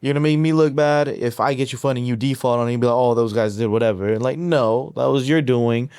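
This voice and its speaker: A man's voice: mid-range voice, fast, darkish tone, clear, resonant tone, no room sound, clean studio sound. A man of thirty co-hosting a podcast, talking casually and rambling with lots of filler words. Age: 30s. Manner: rambling with many likes and you knows.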